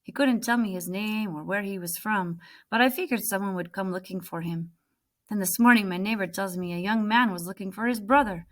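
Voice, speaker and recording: A woman voicing a kindly, calm, helpful market man from a storybook. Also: medium pitch, brisk, close to an everyday voice. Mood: welcoming.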